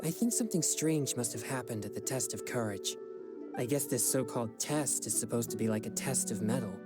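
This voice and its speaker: A man's voice: medium pitch, animated, fairly quick, natural voice. Earnest, formal young exorcist, played by a man, music behind. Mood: serious, explaining. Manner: formal, courteous, apologetic. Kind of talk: video game voice acting.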